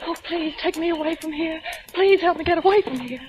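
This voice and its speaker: A desperate captive young woman in a vintage radio thriller. Her very high voice pleads urgently, full of fear. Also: brisk. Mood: terrified, urgent. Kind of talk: old-time radio suspense acting.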